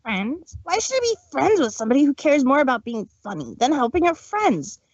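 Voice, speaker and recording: A woman's voice: sits high, very animated, fairly quick, no room sound. A woman doing a sneering tomboy pony. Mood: scornful. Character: brash tomboy female pony, scornful. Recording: dull dry lo-fi stream audio.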